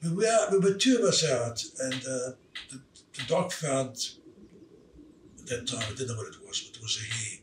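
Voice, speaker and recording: A man's voice: medium pitch, expressive. Elderly man telling stories, distant mic. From South Africa. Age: 80s. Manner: halting, with many fillers and restarts. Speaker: interview guest. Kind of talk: storytelling in casual chat. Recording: roomy, distant mic, faint background noise.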